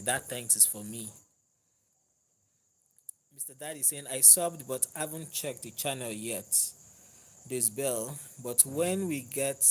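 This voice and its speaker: A man's voice: mid-range voice, slight background noise, poor audio. Nigerian man chatting on a live stream. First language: Yoruba. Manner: conversational, warm thanks to viewers, laughs easily. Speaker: livestream host. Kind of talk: casual live chat, reading viewer comments.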